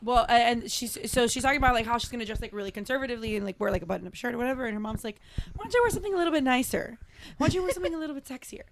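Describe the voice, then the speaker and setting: A woman's voice: a little high, expressive, racing, slight background noise. A woman co-hosting a casual chat, recapping a TV episode in a rambling way with lots of fillers. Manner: rambling, filler-heavy storytelling. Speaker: podcast co-host.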